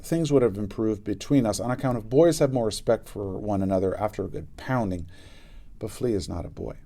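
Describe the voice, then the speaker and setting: A man narrating a children's book aloud in an expressive style with dramatic emphasis. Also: on the low side. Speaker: storybook reader.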